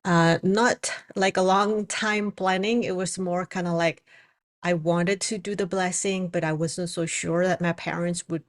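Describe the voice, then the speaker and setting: A woman with an Indonesian accent, a spiritual coach and psychic reader, talking casually to her viewers with many pauses and fillers. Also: lowish voice, steady tone. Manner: hesitant, many fillers and restarts. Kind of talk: casual spoken video message.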